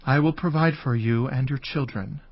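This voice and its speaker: An actor playing a solemn, forgiving Hebrew statesman in a dramatized scripture reading, with a measured and earnest delivery. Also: mid-range voice, no room sound, lightly acted. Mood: gentle, reassuring. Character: Joseph, grieving, forgiving Hebrew statesman of ancient Egypt. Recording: slightly dull audio with music behind. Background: music.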